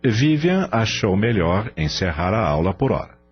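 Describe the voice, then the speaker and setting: A man's voice: fairly low pitch, very animated, a little slow, muffled recording. A Brazilian man narrating a radio novel in a dramatic scripted style. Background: music.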